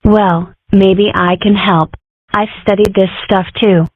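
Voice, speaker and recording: A woman's voice: mid-range voice, fairly even intonation, takes it slowly, no echo. A woman voicing young, matter-of-fact female animal characters in a comic strip dub. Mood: calm. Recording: muffled phone-like band.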